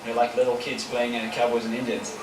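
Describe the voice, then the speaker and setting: A man's voice: mid-range voice, completely flat delivery, brisk. Man, recorded outdoors from afar. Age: 30s. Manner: chatty, many fillers and restarts. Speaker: paintball team player. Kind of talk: casual interview answers. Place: outdoors at a paintball field. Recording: echoey, far from the mic, with noise.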